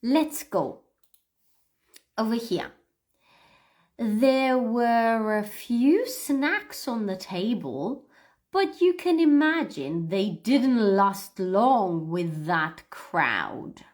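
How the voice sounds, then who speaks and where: A woman with a fairly high voice leads an online English pronunciation lesson. She takes her time, speaking very slowly and deliberately so learners can follow and repeat. Her manner is encouraging and full of praise for the students. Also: expressive, a little mellow. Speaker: English teacher hosting a livestream lesson. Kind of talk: read-aloud pronunciation lesson, addressing learners.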